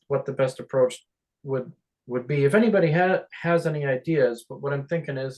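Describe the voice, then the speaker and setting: A man speaking hesitantly and haltingly as he thinks aloud in a group conference call discussion. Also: medium pitch, low-quality recording. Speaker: meeting participant, radio monitoring volunteer.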